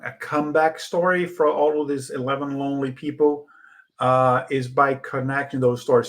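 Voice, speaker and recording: A man whose first language is Portuguese, co-hosting a podcast in a casual, halting way with many fillers and restarts. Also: mid-range voice, fairly bright tone. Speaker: podcast co-host. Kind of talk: casual conversation.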